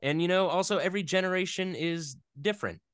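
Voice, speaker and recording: A man's voice: sits high, quick pace, fairly bright tone, smooth, no room sound, clean studio sound. A man being interviewed in a casual chat, speaking in a rambling, hesitant way with geeky enthusiasm. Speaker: interview guest, voice actor.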